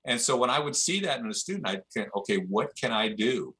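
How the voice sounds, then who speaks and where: A middle-aged martial arts instructor talking earnestly and haltingly as a podcast guest. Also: male voice, medium pitch, big pitch swings, bright, forceful tone. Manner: earnest, halting, restarts often. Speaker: jiu-jitsu instructor. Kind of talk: casual chat.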